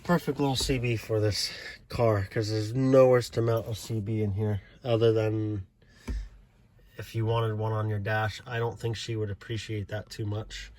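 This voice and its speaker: An American man giving a casual, unscripted walkthrough in a low-key, halting way, as if thinking aloud. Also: flat delivery, darkish tone. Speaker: hobbyist showing a CB radio install. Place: inside a parked car.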